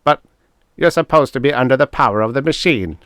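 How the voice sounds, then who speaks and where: A man's voice: medium pitch, animated, brisk, a bit bright, clear, resonant tone, dry recording, studio quality, voice pushed up, close to an everyday voice. A man voicing an evil, irritable toadlike alien commander who barks orders and sneers in a pulp science fiction audiobook. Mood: frustrated, angry.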